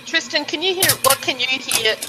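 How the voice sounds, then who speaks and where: An Australian woman thinking aloud on a video call, full of quick exclamations. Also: high-pitched, lively intonation, very noisy. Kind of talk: casual chat.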